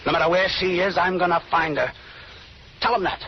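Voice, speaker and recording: An actor playing a ruthless, cocky gangster boss in an old radio drama, with clipped, slangy tough talk. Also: male voice, high voice, fairly even intonation, a little fast, breathy edge. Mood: menacing. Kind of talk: 1930s-40s radio drama acting. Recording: noisy dull old radio recording.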